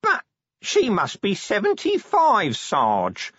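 A man's voice: sits high, huge pitch swings, measured pace, a bit bright, husky, no room sound, dull audio, pitched above the performer's own voice, heavily acted. A man voicing a guileless, cheeky police underling in an audiobook, deferential and defensive in colloquial speech. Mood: horrified fascination. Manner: deferential, innocent-sounding, colloquial. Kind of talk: audiobook character dialogue.

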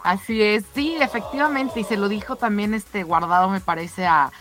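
A woman radio co-host runs through sports news in a conversational, emphatic manner. Light music plays behind her middling-pitched voice. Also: moderate pace, fairly bright tone. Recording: music bed under the voice.

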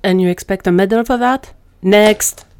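A woman acts out a matronly female prison officer in an audio drama. She is brusque and fed up, barking her orders loudly with no patience at all. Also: medium pitch, normal speed, clean tone, close to an everyday voice. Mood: scornful, impatient.

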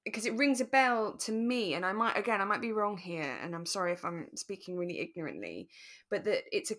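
A woman co-hosting a podcast in relaxed, conversational style, talking thoughtfully and a little tentatively. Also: medium pitch, fairly quick. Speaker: podcast co-host.

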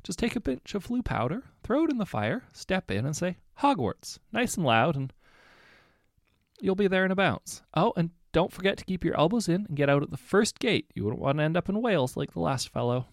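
Man putting on a chirpy, high young witch girl. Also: big pitch swings, a little fast, dry recording, studio quality. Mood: cheery. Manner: cheerful, eager, breathless.

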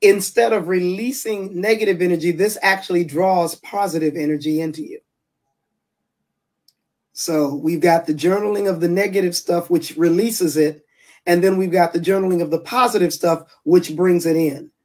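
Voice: medium pitch, fairly even intonation, normal speed, a little mellow. A public speaker and trainer talking as a podcast guest over a remote call, earnest and repeating key phrases for emphasis. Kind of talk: casual interview chat.

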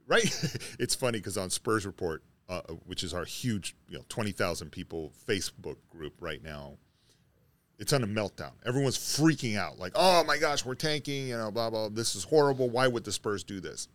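A man's voice: on the low side, animated, normal speed, a bit bright. A man co-hosting a sports podcast, talking through basketball in a casual, conversational way.

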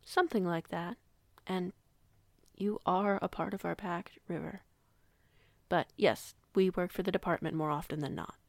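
A woman playing a composed, generous werewolf pack alpha in an audio roleplay, speaking in a measured, slightly formal manner. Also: fairly low pitch, gravelly, darkish tone, dry recording, clean studio sound.

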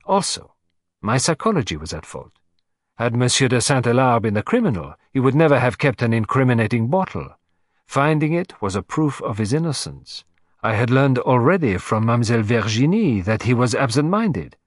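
A man voicing an excitable, vain elderly detective who talks in fussy, theatrical exclamations. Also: mid-range voice, brisk, no echo, studio quality. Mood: agitated.

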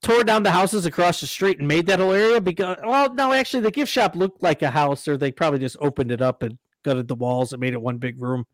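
A man's voice: fairly high pitch, quick pace, hardly any pauses, no echo, low-quality recording. A man co-hosting a podcast, telling stories in a rambling casual way. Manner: rambling storyteller, lots of restarts and laughs. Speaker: podcast co-host. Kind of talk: casual chat.

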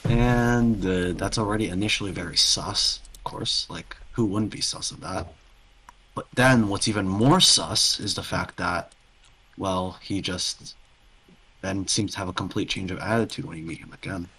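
A man's voice: deep voice, moderate pace, pushed and bright, slightly rough, poor audio. A man co-hosting a casual gaming podcast, talking off the cuff in loose pieces and correcting himself as he goes. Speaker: podcast co-host.

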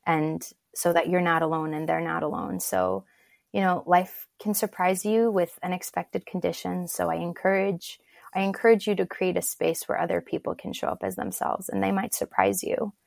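A woman from Turkey talks as an interview guest, reflective and encouraging. Her voice sits a little low and carries a gravelly fry, and the sound is close and dry. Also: normal speed, dry recording. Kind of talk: casual interview talk.

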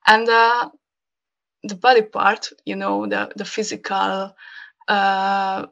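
A young Hungarian woman speaking English as a guest, talking casually with many pauses and fillers. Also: mid-range voice, flat delivery, creaky, fairly bright tone, muffled recording. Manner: hesitant, many fillers, laughs often. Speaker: podcast guest. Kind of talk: casual interview conversation.

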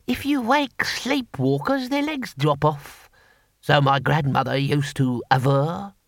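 A man voicing a dithering elderly wizard scholar who muses slowly. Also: a little high, expressive, creaky, dry recording, studio quality, heavily acted. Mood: musing. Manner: slow and musing.

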